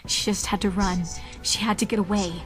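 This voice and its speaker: Woman narrating horror over clear music. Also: medium pitch, little pitch movement, a little fast. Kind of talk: dramatic scripted horror story narration.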